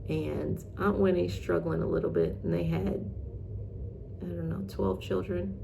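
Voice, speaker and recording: A woman with a slightly low voice and a noticeable creak hosts informally, reading old print aloud and chatting in between. She is self-deprecating and casual. Some noise sits behind her voice. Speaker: host reading old newspaper articles.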